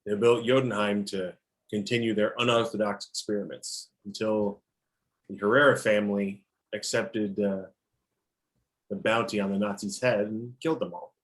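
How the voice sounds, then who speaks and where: A man playing a menacing, measured dictator in an amateur table read. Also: on the low side, level tone, close to an everyday voice, low-quality recording. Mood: grave, measured. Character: menacing male dictator president boasting of atrocities.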